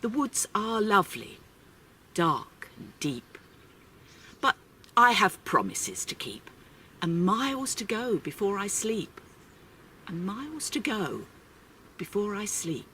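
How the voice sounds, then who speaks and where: A woman reciting poetry in a measured, reflective manner, recorded at a distance. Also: mid-range voice, sing-song, sharp tone. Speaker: actor reading a poem. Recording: distant mic with faint ambient noise.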